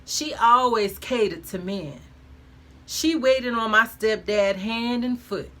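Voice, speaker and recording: A woman's voice: a little high, slow pace, fairly bright tone. A woman reading her own first-person memoir aloud in a frank, conversational way with emphatic colloquial phrasing.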